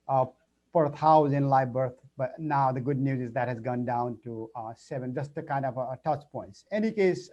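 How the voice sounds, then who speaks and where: A man's voice: medium pitch, dark tone. A man with a Nepali accent, a public health nurse speaking as an alumnus panelist on a video call, hesitant with many fillers. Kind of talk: virtual panel talk. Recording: muffled video call audio.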